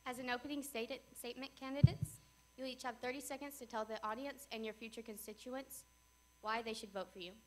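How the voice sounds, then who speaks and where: A woman's voice: a little high, steady tone, brisk, fairly bright tone, rough recording. A young woman moderating a student debate, formally giving candidates instructions from the stage.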